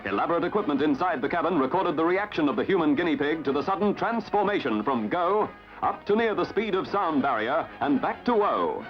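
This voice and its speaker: A band-limited vintage newsreel soundtrack with prominent music carries an Australian man's narration. His voice sits fairly high and swings widely in pitch. He delivers scripted 1950s commentary like a jaunty, theatrical announcer. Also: animated, brisk, lo-fi sound.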